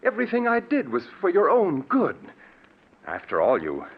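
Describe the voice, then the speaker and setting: Radio mystery casting: nervous, insinuating accomplice, hesitant but insistent, very animated delivery, roomy old broadcast sound. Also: male voice, high voice, moderate pace, full character voice. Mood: grimly smug. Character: jittery, insinuating man leading accomplice to a woman's grave. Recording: muffled, hissy, echoey old radio recording.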